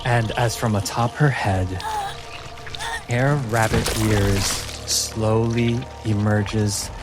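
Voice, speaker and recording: A man narrating a tabletop fantasy story as game master, in deliberate, suspenseful fragments. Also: fairly low pitch, steady tone. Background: background music.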